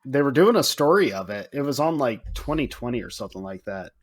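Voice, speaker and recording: A man's voice: medium pitch, sing-song, brisk. A man co-hosting a podcast, talking casually and thinking aloud as he goes. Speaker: podcast co-host.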